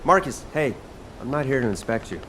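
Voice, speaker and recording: An actor playing an eager, easygoing young fighter who loves cooking in a video game, casual and playful in manner. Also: male voice, medium pitch, animated, brisk, fairly bright tone, a little hiss or hum, natural voice, low-quality recording. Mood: casual, curious.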